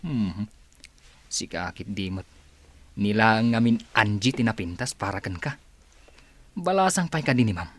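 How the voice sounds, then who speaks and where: A man's voice: on the low side, moderate pace, long pauses. A man acting in a radio drama as a playful, nosy young cousin with a teasing manner. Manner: teasing, animated.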